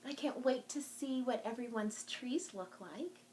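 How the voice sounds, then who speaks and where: Female children's storytime host, higher-pitched and unhurried, sing-song delivery, homemade recording with some noise. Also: darkish tone. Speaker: children's librarian hosting a virtual storytime. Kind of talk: talking to young children in a craft and show-and-tell video.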